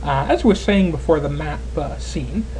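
A man's voice: fairly high pitch, lively intonation, moderate pace, a little mellow, slight background noise. A Canadian man in his twenties giving casual, rambling gameplay commentary as a let's player. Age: 20s. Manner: chatty, rambling, lots of fillers.

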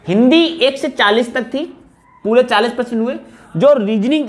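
A man gives an informal explanatory lecture as a coaching teacher, often repeating phrases for emphasis. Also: very high voice. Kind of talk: informal exam analysis lecture to camera. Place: small indoor room, at a whiteboard. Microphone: wired lapel mic.

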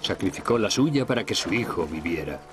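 A man giving a grave, measured speech in a dubbed cartoon. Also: low voice, expressive, soft background music.

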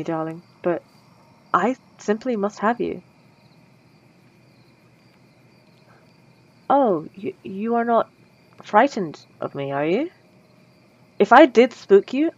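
A woman's voice: lowish voice, muffled recording. A woman voicing a polite, lovestruck female vampire in an ASMR roleplay, speaking formally and old-fashioned with nervous stammers.

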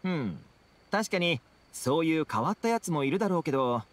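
A man's voice: a little high, very animated, brisk. An actor playing a friendly, easygoing young man in a Japanese fantasy anime game. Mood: relaxed, playful. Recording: background music behind dialogue.

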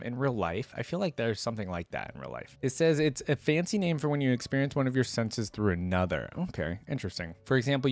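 A man's voice: mid-range voice, dry recording. Young man, casual commentary, dry close sound. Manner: musing, thinks aloud between read passages. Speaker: reaction video host. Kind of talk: casual reaction commentary, reading a wiki aloud. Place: indoor room at a gaming desk. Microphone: desk microphone close in front, headset on.

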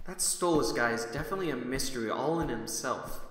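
A man's voice: medium pitch, expressive, brisk, a bit bright, slight background noise, lightly acted, poor audio. A man playing a curious, observant young human in an audio drama, talking in a casual, slightly hesitant way. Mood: musing.

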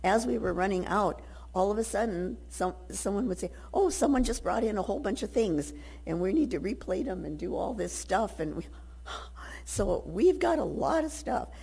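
An older woman with a hoarse, low voice today, giving rambling, grateful church announcements at a lectern mic in a sanctuary. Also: lively intonation, moderate pace, mellow. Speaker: congregation member giving announcements. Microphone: lectern microphone.

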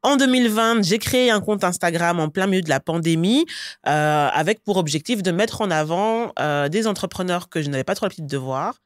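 A woman's voice: fairly low pitch, a little fast, no room sound. Woman, 30s, podcast guest, talkative, dry studio sound. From Belgium. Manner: lively and talkative, frequent "euh" fillers. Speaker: guest entrepreneur. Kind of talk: podcast interview. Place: bright studio set, seated on a sofa. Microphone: studio mic on a boom arm.

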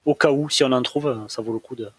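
Player, male, husky voice, relaxed banter. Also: medium pitch, quick pace, dry recording. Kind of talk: casual game chat.

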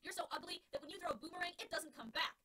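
A brash young boy on a ball team, loud-mouthed and cocky, trading insults in a fast, bright voice, as a woman narrator puts him on. The voice is breathy and sounds set back from the mic. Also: a little high, animated, recorded from a distance, heavily acted. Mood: taunting. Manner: taunting, eager.

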